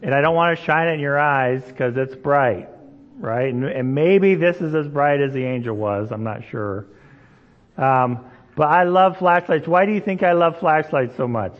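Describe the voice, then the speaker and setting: A man preaching a sermon in a relaxed, storytelling way, often checking in with the listeners. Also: on the high side, moderate pace. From Indonesia. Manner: conversational, storytelling, frequent "right?" checks. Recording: dull sound, long hall reverb.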